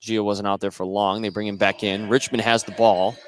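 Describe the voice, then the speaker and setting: A man's voice: lowish voice, level tone, a little fast. Male basketball play-by-play announcer, noisy gym. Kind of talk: live basketball radio commentary. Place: indoor basketball gym, live game. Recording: noisy live gym broadcast.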